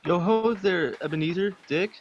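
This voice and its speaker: A man's voice: high voice, normal speed, breathy edge, lo-fi sound. A man playing a hearty, jovial old master at Christmas, in a theatrical radio play recorded over a video call. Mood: jolly. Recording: faintly noisy home video-call recording.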